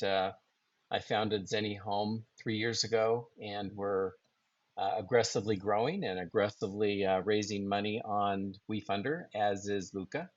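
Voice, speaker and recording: A man hosting a business webinar, introducing his guest in a halting way with many fillers. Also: fairly low pitch, dry recording. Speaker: webinar host, company founder. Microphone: video call. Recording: dry, dull web call audio.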